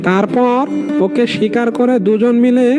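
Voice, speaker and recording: A man's voice: very high voice, steady tone, unhurried. Cartoon fox, played by a man: sly conniving trickster, menacing and deceitful, music underneath. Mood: gleeful scheming. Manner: conniving, feigns concern to trick others. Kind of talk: cartoon character voice acting.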